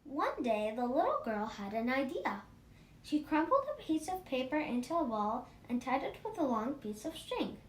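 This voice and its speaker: A woman reading a children's story aloud in an expressive, animated manner. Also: moderate pace. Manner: animated, acts out dialogue. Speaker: storybook reader for a children's book club. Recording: roomy echo, faint rumbling noise.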